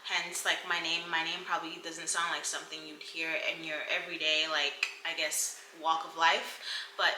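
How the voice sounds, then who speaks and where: Young woman vlogger mid 20s from cameroon, super bright voice, chatty and giggly, roomy echo. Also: deep voice, flat delivery, moderate pace, slight background noise. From Cameroon, partly grew up in the US. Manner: giggly, informal, rambling asides. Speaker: vlogger introducing her channel. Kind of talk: casual chat to camera.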